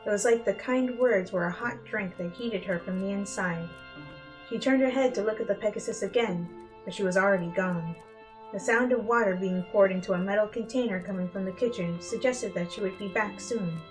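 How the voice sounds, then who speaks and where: A woman's voice: mid-range voice, brisk, low-quality recording. Woman reading a dramatic story over music. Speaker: fan fiction reader. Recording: music bed behind the voice. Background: instrumental music.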